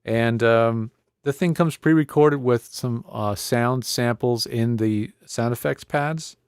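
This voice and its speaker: A man's voice: mid-range voice, slow pace, clean tone, no room sound. A man presenting a casual hands-on audio gear tutorial, thinking aloud in a conversational way on a close studio microphone. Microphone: close studio podcast microphone.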